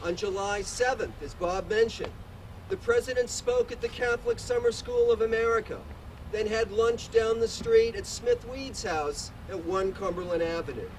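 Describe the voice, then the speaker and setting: A man in his sixties, a museum director, giving a measured commemorative speech outdoors, reading dates and historical detail in a loud voice. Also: high voice. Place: outdoors at a podium in a park. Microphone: podium microphone, distant. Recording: distant podium mic, outdoor traffic hum.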